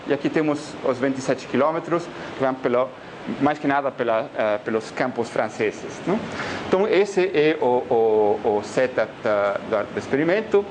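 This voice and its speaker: A man's voice: medium pitch, animated, normal speed, background noise. A man from Argentina with an Argentine Spanish accent, giving a physics colloquium talk in Portuguese, hesitant with many filler sounds. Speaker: physics lecturer. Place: lecture hall.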